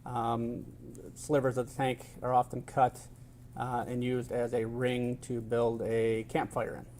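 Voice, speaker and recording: A middle-aged man giving expert testimony in court, answering in a measured, technical way with frequent hesitations and a formal, polite manner. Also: mid-range voice, monotone, darkish tone, slight background noise. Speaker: expert witness.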